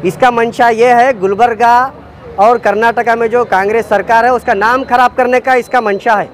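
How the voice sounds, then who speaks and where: A man's voice: very high-pitched, fairly even intonation, moderate pace. A man with a Deccani accent gives an indignant, accusatory protest statement to the press, hammering his demands repeatedly. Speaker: activist spokesman.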